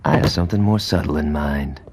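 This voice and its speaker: An actor playing a brash, sarcastic, violent gang lieutenant in a video game cutscene, foul-mouthed and cocky. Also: male voice, extremely low pitch, fairly quick, heavy background noise. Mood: cocky, gleeful.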